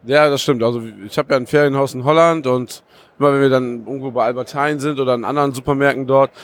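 Podcast guy rambling excitedly, slangy, normal mid voice, at a trade fair. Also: medium pitch, fast. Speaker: podcast co-host. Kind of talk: casual chat.

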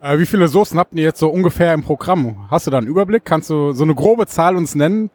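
A man's voice: on the high side, lively intonation, fast talker, darkish tone. A man hosting a podcast, asking his guest questions in a friendly, inquisitive way. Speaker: podcast host. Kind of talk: casual interview.

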